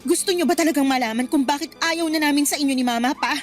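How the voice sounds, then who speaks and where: High-voiced, earnest young daughter played by an actress. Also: female voice, fast talker, faint music behind, natural voice. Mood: upset, defiant. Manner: earnest, emotional. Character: devoted, outspoken student daughter, protective of her mother. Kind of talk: Filipino radio drama acting.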